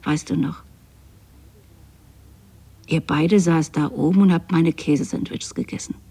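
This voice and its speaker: Husky, pleading, lonely mother. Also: sits low, fairly even intonation, unhurried, dark tone, exaggerated voice. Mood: tender, wistful. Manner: tender, pleading, plaintive. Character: lonely, possessive, doting widowed mother pining for her absent son. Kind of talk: intimate radio drama monologue, spoken as a letter.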